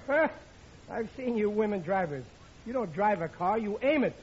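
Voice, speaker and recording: A blustering middle-aged American dad holds forth in an old radio sitcom. He is stubborn, dim and emphatic, in a fairly high voice. The recording is muffled and heavily noisy. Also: expressive, moderate pace. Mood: stubborn, scornful. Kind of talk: 1950s radio sitcom acting.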